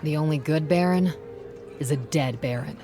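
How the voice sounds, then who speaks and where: An actress playing a spirited young rebel schoolteacher in a video game, plain-spoken, folksy and earnest. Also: female voice, sits low, normal speed, mellow, faint music behind, close to an everyday voice. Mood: determined. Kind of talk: video game character dialogue.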